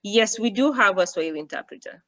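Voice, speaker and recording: A woman's voice: medium pitch, lively intonation, quick pace, bright, forceful tone. Woman hosting over dull lo-fi call audio. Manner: apologetic, hesitant with fillers. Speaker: session host. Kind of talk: moderating a video call. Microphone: video call.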